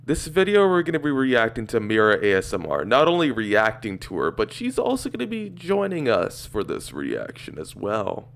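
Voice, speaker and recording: A young man at a desk in a gaming chair, hosting a reaction stream into a large microphone. His voice sits at an ordinary mid pitch. He rambles casually, stacking fillers as he thinks aloud. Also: huge pitch swings, moderate pace, creaky, few pauses. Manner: rambling, filler-heavy, many likes. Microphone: large desk microphone with headset.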